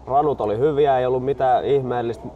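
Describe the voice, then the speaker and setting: A man in his twenties chatting casually as a co-host, outdoors. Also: medium pitch. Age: 20s. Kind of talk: casual chat. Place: outdoors at a golf club. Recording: slightly dull, faint outdoor noise.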